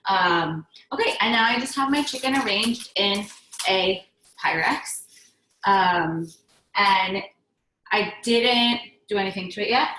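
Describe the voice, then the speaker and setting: A woman's voice: mid-range voice, takes it slowly, bright, forceful tone, reverberant, a little hiss or hum, poor audio. A young woman whose first language is Hebrew, chatting enthusiastically while teaching a cooking class in a home kitchen, with lots of ums and restarts. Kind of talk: casual cooking demonstration.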